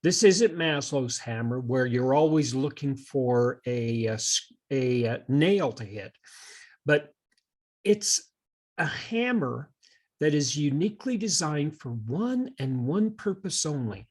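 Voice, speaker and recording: A man with a slightly husky voice co-hosting a conversational podcast, explaining ideas in a thoughtful, halting way like a teacher. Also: medium pitch, lively intonation, darkish tone.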